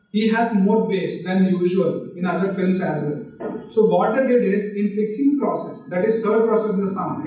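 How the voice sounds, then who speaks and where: A man teaches film direction in a live online lecture. His voice sits fairly high for a man, and he explains patiently, often checking in with "right?". The sound is very echoey and a little distant from the mic. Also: a little mellow. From India. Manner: explanatory, asks listeners rhetorical questions.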